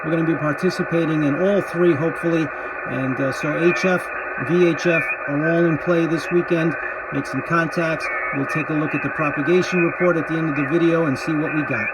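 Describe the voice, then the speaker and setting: A man's voice: a little high, steady tone, fairly quick, heavy background noise. A man who makes amateur radio videos, speaking casually as he narrates and operates.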